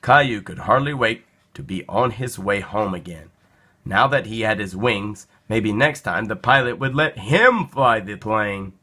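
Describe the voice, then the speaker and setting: A man reading a children's picture book aloud in an expressive, deliberate storytelling manner. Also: on the low side. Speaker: storybook reader.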